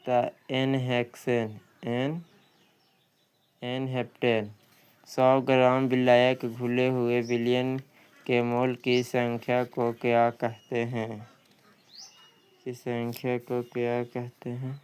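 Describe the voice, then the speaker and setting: A man's voice: mid-range voice, completely flat delivery, slow, darkish tone, clear, resonant tone, rough recording. A man working through practice questions as a coaching teacher, reading each one out and repeating questions and answers.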